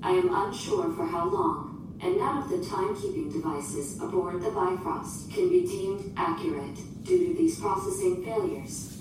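A woman's voice: very animated, a little slow, vocal fry, heavily acted. A woman playing a polite, unemotional shipboard AI in a sci-fi audio drama, speaking in formal, precise, procedural phrases. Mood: polite, detached. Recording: distant, echoing, noisy with rumble.

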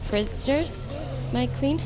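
Taunting, high-voiced warrior woman. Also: slow, over music. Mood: defensive. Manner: sneering, theatrical, archaic phrasing. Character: taunting, menacing female warrior of a fantasy tribe. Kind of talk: cinematic audio drama acting.

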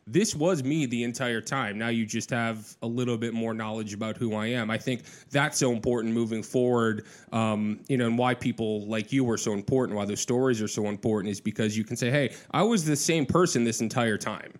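A man's voice: medium pitch, fairly quick, hardly any pauses. A man hosting an interview, speaking casually and encouragingly to his guest. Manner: encouraging, affirming. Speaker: interviewer, host.

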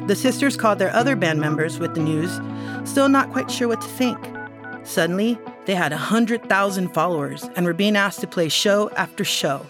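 A woman narrating a scripted children's podcast story with animated, emphatic, expressive phrasing. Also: medium pitch, normal speed. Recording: music behind the voice.

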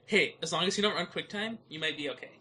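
A man's voice: fairly high pitch, fast talker, sharp tone, slightly rough. A man co-hosting a podcast in casual banter with a dry, sardonic manner. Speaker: podcast host.

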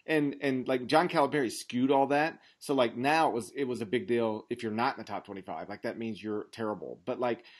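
A man's voice: mid-range voice, fairly quick, hardly any pauses. An American man in his thirties hosting a sports podcast in casual chat, rambling emphatically and leaning on filler words like "like". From Memphis area, southern United States. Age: 30s. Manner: rambling, emphatic, says "like" constantly.

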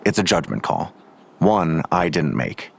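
A man's voice: a bass voice, very animated, measured pace, vocal fry, husky, exaggerated voice. An actor playing a blunt, world-weary father in an audio drama, dry and clipped in delivery. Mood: guarded.

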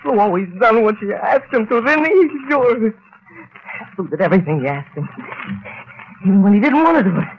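A terrified, simple-minded henchman in an old radio play, whimpering and stammering as he begs. His voice sits high and swings wildly up and down. The recording is muffled with a steady hiss. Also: moderate pace. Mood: desperate, fleeing. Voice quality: whimpering, stammering, ungrammatical pleading. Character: frightened simple-minded male henchman fleeing into a swamp. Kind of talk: old-time radio drama acting.

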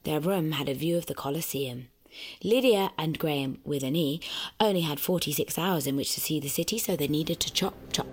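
A woman playing a brisk storyteller, narrating audio fiction in deliberate, list-like phrases. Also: sits low, breathy edge. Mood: brisk, storylike. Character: brisk female storyteller reeling off tourist sights. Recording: music behind the narration.

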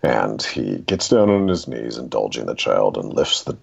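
Really low gravelly guy, author guest, talks deliberate n dramatic like a storyteller, bit muffled audio. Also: sing-song. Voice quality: very deep, gravelly. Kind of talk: literary discussion and storytelling.

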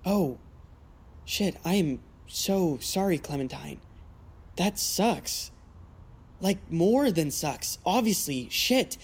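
A woman's voice: lowish voice, a little mellow, husky, exaggerated voice. A woman in an audio drama playing a friendly, awkward, eager teenage boy, earnest and bumbling. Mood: flustered, apologetic. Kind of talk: naturalistic audio drama acting.

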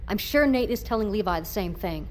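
A woman's voice: medium pitch, lively intonation, fairly quick, a little mellow, slight background noise, natural voice. An actress playing an anxious, stubborn woman scientist in a naturalistic audio drama, argumentative and distrustful. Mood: exasperated, accusing.

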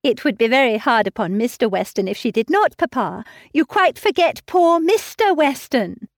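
A woman voicing a bright, eager young lady. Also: sits high, lively intonation, moderate pace, dry recording, studio quality, pitched above the performer's own voice. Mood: chiding. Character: lively young woman, cheerful and reassuring.

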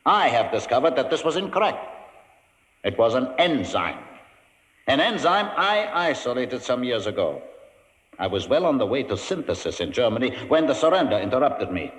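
Man acting pompous mad scientist, echoing and animated. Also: medium pitch, normal speed, natural voice. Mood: smug, lecturing. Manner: pompous, mockingly courteous. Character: coldly polite male mad scientist, pompous and menacing. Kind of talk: 1950s radio drama acting. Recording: echoey old radio recording, long reverb.

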